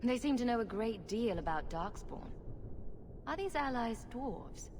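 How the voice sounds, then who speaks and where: A woman's voice: on the high side, expressive, a little slow. A woman playing a wry, bold female rogue champion in a video game, sarcastic and flippant. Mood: questioning. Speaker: player character in a fantasy game. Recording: echoey cavern reverb, faint noise.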